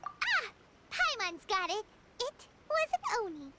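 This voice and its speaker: A woman voicing a tiny, childlike, excitable fairy companion in a video game, bubbly and blunt. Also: very high voice, slow, heavy background noise, heavily acted. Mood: curious, eager. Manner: bubbly, blunt, refers to herself by name.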